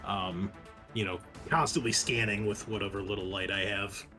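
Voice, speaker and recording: A man's voice: fairly low pitch, steady tone, moderate pace. A man chatting casually as a player in a tabletop game, with a jokey, wry manner. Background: game music.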